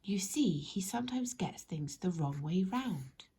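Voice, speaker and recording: A woman narrating a children's story expressively, in measured phrases that speak directly to young listeners. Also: fairly low pitch, expressive, mellow, a little hiss or hum. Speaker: storybook reader.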